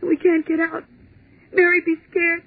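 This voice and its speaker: A woman's voice: very high-pitched, monotone, moderate pace, no echo. An actress playing a terrified young office woman, pleading frantically, in 1940s radio acting style. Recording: tinny 1940s radio broadcast.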